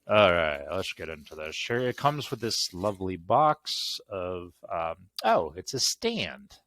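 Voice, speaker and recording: A man's voice: lowish voice, fairly bright tone. A middle-aged man rambling casually through a product unboxing in a goofy, grumbling, mildly profane way. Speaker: unboxing video host.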